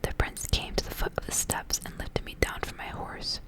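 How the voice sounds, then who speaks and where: A woman doing ASMR, reading a story aloud in a soft, breathy whisper. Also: moderate pace.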